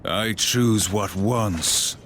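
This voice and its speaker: A man playing a stern, solemn supernatural warrior in a video game cutscene, speaking with deliberate weight. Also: lowish voice, a bit bright, a little hiss or hum. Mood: solemn, resolute.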